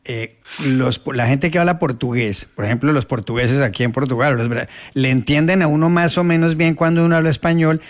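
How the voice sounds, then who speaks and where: A man's voice: medium pitch, fairly quick, few pauses. A Colombian man speaking as a correspondent on a radio panel, explaining at length and repeating words as he thinks. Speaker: correspondent in Spain. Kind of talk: casual radio panel chat.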